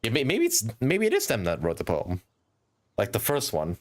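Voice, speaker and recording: A man's voice: fairly low pitch, wildly swooping pitch, moderate pace. A man doing casual, informal live commentary as he streams a video game. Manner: informal, swearing, chatty. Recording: faint noise behind.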